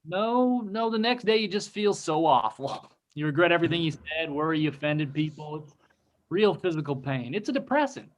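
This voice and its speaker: A man's voice: on the high side, lively intonation, normal speed, close to an everyday voice. A man playing a goofy, self-deprecating admirer with corny delivery in a comedy table read over a video call. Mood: glum. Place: room at home, video call. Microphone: video call computer microphone.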